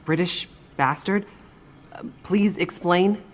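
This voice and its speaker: Lowish-voiced young woman scientist. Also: slow pace, a little hiss or hum. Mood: puzzled, insistent. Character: younger woman scientist, earnest, wry assistant. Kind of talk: dramatic video game acting.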